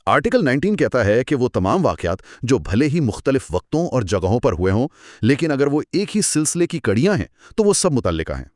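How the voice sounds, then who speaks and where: A man's voice: medium pitch, very animated, fairly quick, darkish tone, no echo, clean studio sound. A man co-hosting an educational discussion, explaining things conversationally and with emphasis. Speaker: co-host of an educational law discussion. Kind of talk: conversational explainer, podcast-style dialogue.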